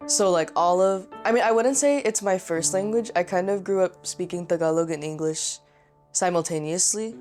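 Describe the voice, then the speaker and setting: A woman's voice: lowish voice, normal speed, darkish tone, faint music behind. A young woman chatting casually in a documentary interview, with lots of filler words.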